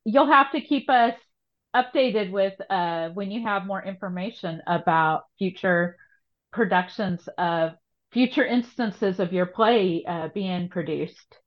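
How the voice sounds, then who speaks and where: A woman's voice: mid-range voice, unhurried. Woman podcast host, slightly dull audio. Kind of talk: casual conversational interview.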